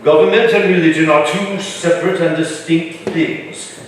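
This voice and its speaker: A man's voice: a little high, fairly even intonation, normal speed, close to an everyday voice. Man acting commanding colonial governor, echoey hall. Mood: formal, assured. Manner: commanding, formal, wry. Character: imperious colonial governor, dryly shrewd older man. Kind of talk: staged reading of a historical play. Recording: echoey room from far off, faint noise.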